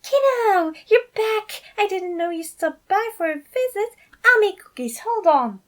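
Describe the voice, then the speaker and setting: A sweet, childlike dad figure, played by a woman, doting and bubbly. The pitch is pushed very high, and the delivery gushes with affection. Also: lively intonation, unhurried. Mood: delighted. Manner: gushing, affectionate.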